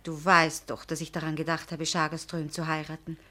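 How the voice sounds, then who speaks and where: Casting: earnest, proud young lady for radio drama, lowish voice, direct, emphatic. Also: little pitch movement, fairly quick, pushed and bright. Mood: matter-of-fact. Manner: direct, emphatic, pleading or drily pointed. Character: proud, earnest, frank young woman engaged to marry. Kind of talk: German radio drama acting.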